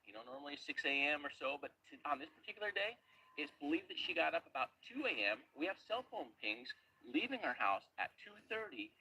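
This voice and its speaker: A man's voice: a little high, pushed and bright. Male diver briefing on location, noisy. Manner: explanatory, fact-by-fact briefing. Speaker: search diver presenting a video. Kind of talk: on-location video narration.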